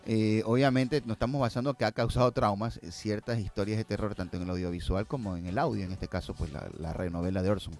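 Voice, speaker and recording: A man's voice: on the low side, fairly even intonation, fast, hardly any pauses, a little mellow. A man speaking as a guest, casually explaining things through examples. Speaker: guest filmmaker. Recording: faint music behind the voice.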